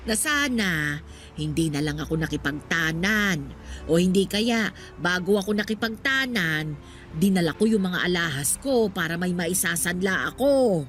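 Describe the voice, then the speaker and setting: A woman's voice: lowish voice, animated, normal speed, fairly bright tone. An actress playing an elderly mother in a Tagalog radio drama, reminiscing and advising, poor but contented and loving. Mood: rueful. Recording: music behind the voice. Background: background music.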